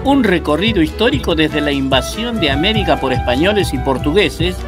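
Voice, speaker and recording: A man's voice: on the high side, expressive, a little fast, music in the background. A middle-aged man presenting a radio program in a formal, announcing style.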